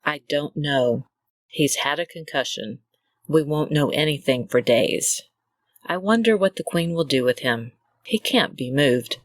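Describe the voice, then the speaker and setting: A woman's voice: deep voice, unhurried, no room sound. A woman playing a dutiful Amazon doctor in an old-style radio serial, formal and matter-of-fact. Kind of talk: 1940s radio serial acting.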